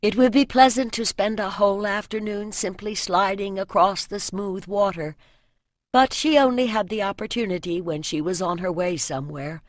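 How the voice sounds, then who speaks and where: Female audiobook narrator, mid-range voice, measured fantasy storytelling, very little room echo. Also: mid-range voice, studio quality.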